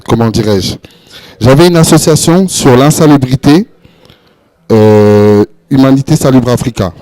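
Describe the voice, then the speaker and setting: A young man from France speaking on a discussion panel, halting and full of hesitations and repeated words. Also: mid-range voice, warm tone. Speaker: panel speaker, event organizer.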